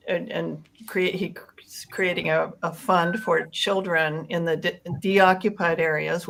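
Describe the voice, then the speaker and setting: A woman speaking earnestly and deliberately in an unscripted discussion. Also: on the low side, gravelly, slight background noise, rough recording.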